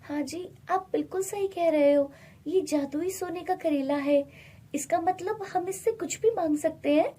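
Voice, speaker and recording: A sweet, devout farmer's wife played by a woman narrator in a storybook tale. She speaks high and softly, with gentle respect and hopeful gratitude. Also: measured pace.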